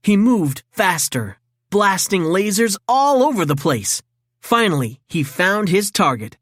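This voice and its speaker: A woman narrates a storybook for children with an expressive, dramatic delivery. Her intonation moves widely, and the sound is very dry, with a medium pitch. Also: a little slow, no room sound.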